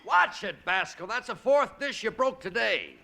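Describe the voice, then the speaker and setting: A gruff, bossy diner owner who barks orders. His voice is very bright and sharp, high-pitched and lively. A 1940s radio comedy role. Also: normal speed, full character voice. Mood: angry. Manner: brusque, bossy.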